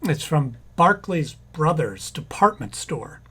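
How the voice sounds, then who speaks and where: An actor playing an exasperated young husband who works in a bank, in an old-time radio comedy. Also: male voice, on the high side, sing-song, takes it slowly, bright, forceful tone, slightly rough, heavily acted. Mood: fussing.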